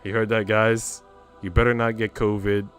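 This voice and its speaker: A man's voice: lowish voice, level tone, moderate pace, fairly bright tone. A man streaming a visual novel, reading its text aloud and adding casual asides, with music playing behind him.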